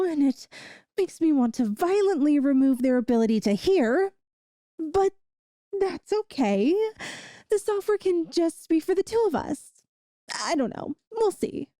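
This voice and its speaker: A woman performs an adorkable yandere girlfriend with a very high, mellow voice, recorded close and dry. She is doting and possessive, rambling sweetly and earnestly as in a comedic ASMR roleplay. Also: no room sound. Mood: sweetly jealous, then doting, chirpy. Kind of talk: ASMR audio roleplay, comedic monologue to listener.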